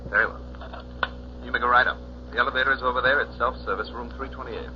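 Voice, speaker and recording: A proper, polite desk clerk played by a man, speaking quickly in a medium-pitched, businesslike voice. The 1940s radio recording is dull with clear noise behind. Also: steady tone, natural voice. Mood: polite, brisk. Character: polite, formal male hotel desk clerk.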